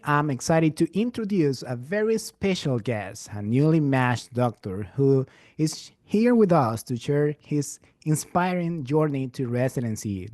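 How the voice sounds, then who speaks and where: A man's voice: mid-range voice, darkish tone, no echo. Effusive man hosting an interview, dry sound. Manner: effusive, repeats praise. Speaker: interviewer, program ambassador.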